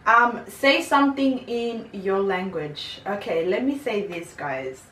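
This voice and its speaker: A young woman hosting her own video channel, chatting and laughing easily. Her voice sits at an ordinary pitch. It sounds roomy and a little distant, with faint noise behind her. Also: lively intonation, takes it slowly, a bit bright. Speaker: vlogger. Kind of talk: casual chat.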